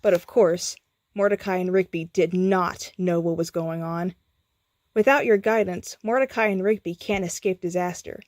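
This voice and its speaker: A woman narrating a scripted bedtime story in a theatrical, deliberate manner. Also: lowish voice, darkish tone, no room sound.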